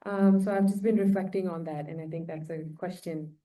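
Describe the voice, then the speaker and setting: A woman's voice: on the low side, steady tone, a little fast, dark tone, distant mic. A young woman from Malaysia sharing an informal reflection at a conference in a musing, tentative way. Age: young adult. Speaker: conference participant.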